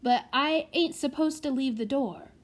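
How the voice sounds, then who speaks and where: A woman's voice: high-pitched, measured pace, pitched above the performer's own voice. Woman as a timid, obedient orphan boy. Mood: worried. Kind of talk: children's storybook character voice.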